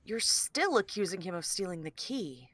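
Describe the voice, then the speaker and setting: A woman's voice: lowish voice, sing-song, normal speed, a bit bright. A woman playing an anxious, questioning spaceship crew member in a table read. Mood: doubtful.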